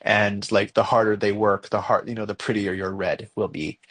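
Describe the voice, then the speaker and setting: A young man with a Mexican accent, co-hosting a casual podcast discussion, talking in a halting way with many fillers and restarts as he thinks aloud. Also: on the low side, flat delivery, fairly bright tone. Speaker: podcast co-host.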